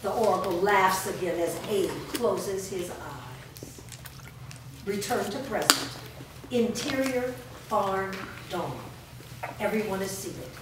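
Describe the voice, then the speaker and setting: A woman's voice: medium pitch, lively intonation, slow pace. A woman reading stage directions aloud as scripted dramatic narration. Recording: echoey room, distant mic, faint noise.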